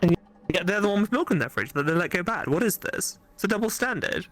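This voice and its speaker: A man with a fairly high voice joins a gaming video as co-commentator. He chats casually and rants in playful mock indignation about what is on screen. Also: expressive, fast talker, fairly bright tone.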